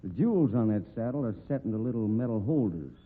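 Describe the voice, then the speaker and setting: An actor playing a calm, dry-witted rodeo manager who investigates a murder. He speaks in a laconic drawl, in 1940s radio acting style. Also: fairly low pitch, fast talker, breathy edge. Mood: explaining. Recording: narrow-band old radio broadcast.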